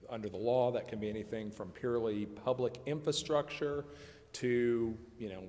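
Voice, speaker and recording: A man's voice: mid-range voice, moderate pace, darkish tone. A man giving an informal explanatory presentation as a consultant at a public meeting. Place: large indoor council chamber. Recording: echoey chamber, long reverb, faint noise.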